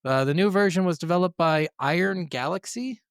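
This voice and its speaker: A man's voice: high voice, a little fast, clear, resonant tone, dry recording, studio quality. A man co-hosting a gaming podcast, speaking informally and given to swearing, partly working from notes. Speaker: podcast co-host.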